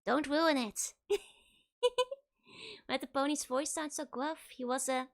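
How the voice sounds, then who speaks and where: Giggly, hoarse young woman streamer. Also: high-pitched, steady tone, takes it slowly. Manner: giggly, rambling, playful. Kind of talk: casual game stream chat.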